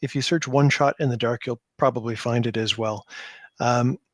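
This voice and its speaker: A man's voice: mid-range voice, steady tone, moderate pace, no room sound, studio quality. A man speaking casually as a guest in a podcast interview about his own work, with a self-deprecating manner. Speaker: podcast guest, tabletop game designer.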